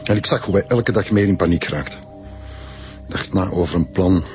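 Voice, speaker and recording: Very deep-voiced, proud older Flemish man, acted. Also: normal speed, a little mellow, close to an everyday voice. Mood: bitter, indignant. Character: indignant, proud older male historian under suspicion. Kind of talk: Flemish radio drama acting. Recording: faint music behind the dialogue.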